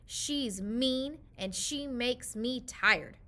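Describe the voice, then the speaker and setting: A cross little girl grumbles through a storybook in a woman reader's voice. Her tone is bright and high, with a whiny, indignant edge to every complaint. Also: extremely slow, exaggerated voice. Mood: complaining. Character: young girl, sulky and complaining.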